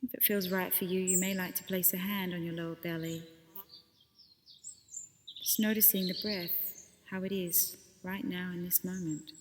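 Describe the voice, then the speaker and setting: A woman leading a guided body scan meditation as a teacher, speaking gently and unhurriedly with pauses between phrases. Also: lowish voice, steady tone, big-room sound, a little hiss or hum.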